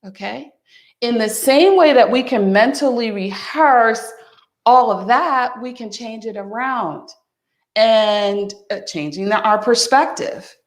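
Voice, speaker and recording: A woman in her fifties giving a motivational wellness talk, speaking warmly and directly to the listener as "my friend" and "honey.". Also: fairly high pitch. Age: 50s. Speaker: wellness coach. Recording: echoey room.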